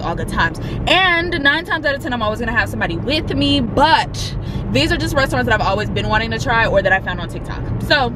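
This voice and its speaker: A woman's voice: high voice, background noise. A woman, chatting and laughing through a casual food vlog, filmed on a handheld camera in a car and a small restaurant. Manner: chatty and playful, laughs a lot. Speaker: vlogger. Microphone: handheld camera at arm's length. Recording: music and crowd chatter behind.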